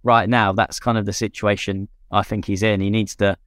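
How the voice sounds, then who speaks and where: Smooth-voiced man chatting casually. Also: fairly low pitch, little pitch movement, normal speed, a bit bright, dry recording, clean studio sound. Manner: conversational, many "you know" fillers. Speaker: podcast co-host, former racing driver. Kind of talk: casual chat.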